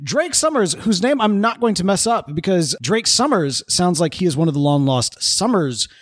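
A man's voice: sits high, sing-song, moderate pace, dry recording, studio quality. A man from the Southern United States co-hosting a podcast in casual, joking banter, often laughing. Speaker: podcast co-host.

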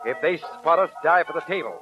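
A man acts a dogged police chief in a vintage radio crime play. His voice is slightly high, urgent and commanding. The sound is dull and muffled, with music clearly present. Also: expressive, a little fast, close to an everyday voice. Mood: tense instruction. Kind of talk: 1940s radio crime acting. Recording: muffled 1940s radio recording.

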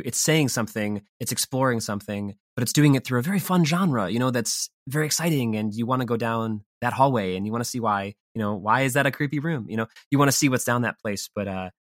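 A man giving informal, animated answers as an interview guest. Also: mid-range voice, no room sound, clean studio sound. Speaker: guest, audio drama creator. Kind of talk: casual interview chat.

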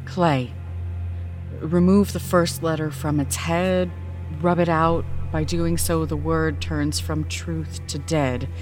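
A woman playing a weary, guarded young queen in a fantasy horror audio drama, measured, deferential and solemn. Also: deep voice, steady tone, a little mellow, soft background music.

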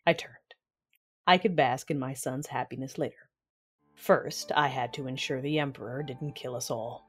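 Proud, vengeful Sith commander played by a woman, formal and measured, narrating her own sci-fi audio drama with authority. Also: rumbling low, husky, faint music behind. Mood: brooding. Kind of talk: first-person audio drama narration and dialogue.

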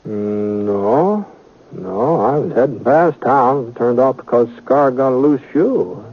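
An actor playing an easygoing, modest American gunslinger in a 1950s radio western, speaking in a hesitant, folksy drawl. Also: male voice, medium pitch, animated, slow pace, husky. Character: easygoing Old West drifter and gunslinger, laconic, modest. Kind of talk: 1950s radio western acting, with first-person narration. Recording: dull, noisy 1950s radio recording.

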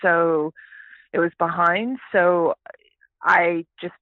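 A woman raised in Texas, born in Canada, chatting as an interview guest, talking in quick restarts and fillers. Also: lowish voice, fairly even intonation, dry recording. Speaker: guest, startup CEO. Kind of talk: casual interview talk. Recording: tinny phone-like line.

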